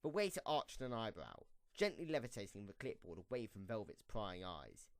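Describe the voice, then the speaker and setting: Male narrator for fan radio play; expressive range, dry recording. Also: fairly low pitch, normal speed, no echo. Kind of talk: scripted storybook narration for a fan audio drama.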